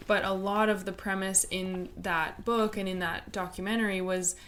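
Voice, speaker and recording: Calm woman explaining things steadily. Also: mid-range voice, normal speed, a little hiss or hum. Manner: steady, explanatory, lots of soft "mm" agreement. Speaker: podcast co-host. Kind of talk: explanatory podcast chat.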